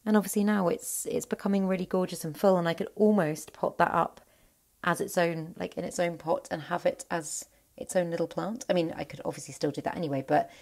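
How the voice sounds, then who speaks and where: A woman's voice: fairly low pitch, fairly even intonation, a little fast, vocal fry, darkish tone. Chatty woman touring her houseplants. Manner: chatty, enthusiastic, rambling with restarts. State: voice slightly croaky. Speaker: plant vlogger. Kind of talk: casual plant collection tour. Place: indoor plant cabinet at home.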